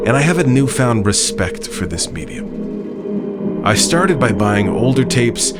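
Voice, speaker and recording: Lively documentary man narrating over music. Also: mid-range voice, takes it slowly. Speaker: host of a music video series. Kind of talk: scripted narration.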